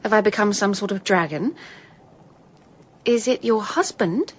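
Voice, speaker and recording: An actress playing a kindly, composed young Victorian gentlewoman in a radio drama, well-spoken and emphatic. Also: mid-range voice, lively intonation, a little slow, breathy edge. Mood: kindly, curious.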